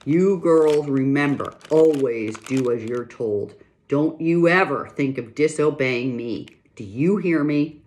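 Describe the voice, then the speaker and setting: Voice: mid-range voice, lively intonation, normal speed, lightly acted. A reader voicing a firm, loving pioneer father in a children's book read aloud, speaking emphatically. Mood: stern. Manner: firm, emphatic, scolding the girls. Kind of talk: reading a children's novel aloud.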